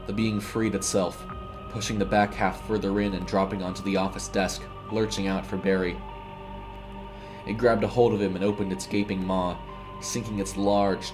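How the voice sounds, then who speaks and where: A male narrator with a low voice tells a scripted horror story. His delivery is dramatic storytelling, set over clearly audible music.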